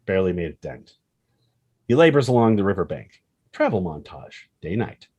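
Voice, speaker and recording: A man's voice: deep voice, sing-song, normal speed, a little mellow, husky, no room sound, muffled recording. A man reading a screenplay's action lines as dramatic narration, expressively and in short punchy phrases. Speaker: screenplay reader.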